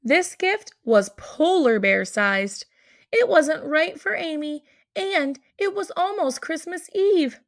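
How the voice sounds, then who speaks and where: High, creaky-voiced woman reading a storybook. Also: animated, takes it slowly. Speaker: storybook reader. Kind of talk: children's read-aloud narration.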